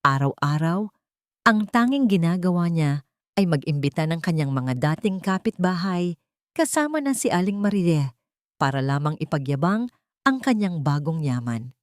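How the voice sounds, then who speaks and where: A woman's voice: fairly low pitch, big pitch swings, moderate pace, dry recording, clean studio sound. A woman narrating a scripted audiobook drama in a dramatic storytelling manner.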